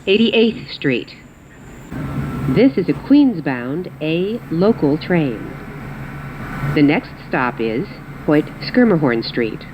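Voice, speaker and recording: A woman reading scripted automated subway announcements as the train announcer, in measured, clearly segmented phrases. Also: big pitch swings. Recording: muffled, noisy recording inside subway train. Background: train rumble and ambience.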